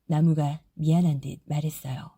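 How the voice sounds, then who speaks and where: A woman reads a fairy tale in a soft, gentle storytelling voice. Her tone is very mellow and a bit husky, and it sits slightly low. The recording is close and dry. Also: fairly even intonation, moderate pace, dry recording. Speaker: audiobook narrator. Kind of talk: scripted storybook narration.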